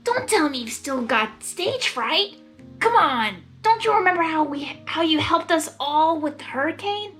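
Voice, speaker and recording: A bold cartoon pegasus mare, acted by a woman, talks high and very animatedly. She is casual, upbeat and encouraging, like a sporty best friend. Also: animated, measured pace, fairly bright tone, faint music behind, heavily acted. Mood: reassuring. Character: brash, encouraging female cartoon pegasus athlete pony.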